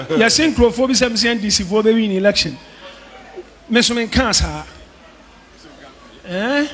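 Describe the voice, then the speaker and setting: An older man with a Ghanaian accent preaching on a church stage, mixing Twi and English, joking and shouting with a handheld mic. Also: high voice, unhurried. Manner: animated, joking, shouting at times. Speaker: pastor. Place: large indoor church hall. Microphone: handheld microphone.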